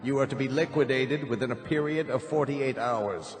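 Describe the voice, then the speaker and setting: An actor playing a cold, imperious chancellor of a totalitarian state in a radio drama, speaking formally and officiously. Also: male voice, medium pitch, normal speed, close to an everyday voice. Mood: coldly formal. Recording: echoey hall with music underneath.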